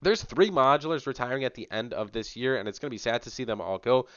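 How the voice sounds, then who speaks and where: A man's voice: mid-range voice, lively intonation, fast, a bit bright, no room sound, dull audio. A young man hosting a casual rundown to camera, chatty and enthusiastic, a little sick, talking into a headset mic in a small home room. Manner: chatty, filler-heavy, enthusiastic. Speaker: channel host.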